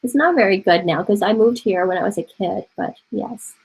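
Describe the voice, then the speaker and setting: A woman's voice: mid-range voice, moderate pace, gravelly, breathy edge. A woman in her forties from Taiwan, a native Mandarin speaker, teaching an online college art class from home in a chatty, encouraging way, often laughing and thinking aloud. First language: Mandarin Chinese. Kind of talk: casual online class instruction. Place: home room with bookshelves. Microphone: webcam on a video call.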